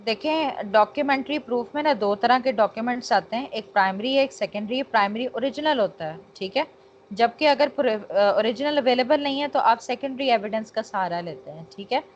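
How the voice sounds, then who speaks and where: A woman's voice: fairly high pitch, animated, fairly quick. A woman with a Pakistani accent teaching law in an online lecture, explaining with English terms mixed in and checking that students follow. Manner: checks understanding, frequent tag phrases. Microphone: online call. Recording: dull, noisy online call audio.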